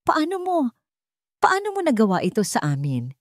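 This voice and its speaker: An anguished widowed mother played by a woman storyteller pleads in a raised, high pitch. She is emotional and betrayed, in a dramatic story told on a dry recording. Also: wildly swooping pitch, moderate pace, no echo.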